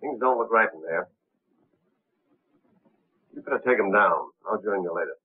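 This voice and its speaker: A man's voice: lowish voice, animated, normal speed, husky. A man playing a brusque, inquisitive police captain in a 1930s film. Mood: brisk, suspicious. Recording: scratchy, tinny 1930s film soundtrack.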